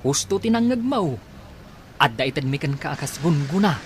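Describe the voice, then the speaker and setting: A man's voice: a little high, lively intonation, moderate pace, slight background noise, natural voice. A man playing a guarded investigator in a radio drama, questioning and bargaining as he searches. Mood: probing, guarded. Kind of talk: Ilocano radio drama acting.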